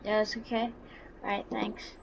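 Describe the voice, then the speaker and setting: A woman doing casual, halting roleplay commentary over a farming game, excitable and given to exclaiming. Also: mid-range voice, creaky, muffled recording. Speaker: gaming video creator. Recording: noisy, with vehicle engine sounds.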